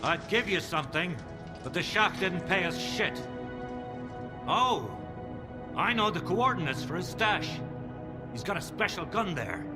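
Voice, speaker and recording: Nervous, higher-voiced crook. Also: male voice, very animated, measured pace, long pauses. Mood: confiding. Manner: pleading, talkative. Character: nervous, bargaining crooked gang man, eager to survive. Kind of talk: video game dialogue. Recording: loud music behind the voice.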